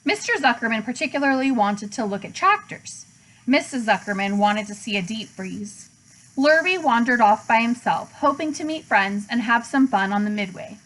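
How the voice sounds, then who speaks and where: A woman's voice: on the high side, animated, moderate pace, a bit bright, rough recording. A woman narrating a children's storybook in a read-aloud style, as a teacher would.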